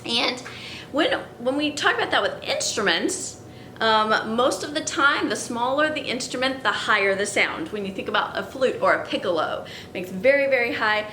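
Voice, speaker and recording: A woman's voice: on the high side, expressive, moderate pace, pushed and bright, roomy sound, slight background noise. A woman who sings soprano, teaching a music lesson to students at home and explaining patiently, with fillers and little laughs. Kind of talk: remote classroom lesson.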